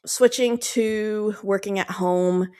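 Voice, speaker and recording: A woman's voice: mid-range voice, steady tone, takes it slowly, dark tone, clean tone. Chatty woman with lots of "like"s. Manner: rambling, filler-heavy, affectionate. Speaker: podcast guest, dog owner. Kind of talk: casual chat.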